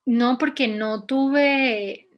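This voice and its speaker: A woman's voice: fairly high pitch, very slow, dull audio. Reflective woman journalist from Venezuela. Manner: hesitant, many restarts and fillers, reflective. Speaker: journalist, guest. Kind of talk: interview answers.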